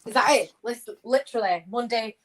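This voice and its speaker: A woman's voice: a little high, a little fast. A woman on a live online panel, teasing and bantering casually. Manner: teasing, playful.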